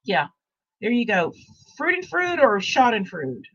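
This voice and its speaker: A woman's voice: on the high side, sing-song, a little slow, a bit bright, slightly rough, dull audio. A woman in her sixties hosting a casual talk show, rambling and repeating herself with many restarts. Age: 60s. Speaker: host. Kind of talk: casual podcast chat.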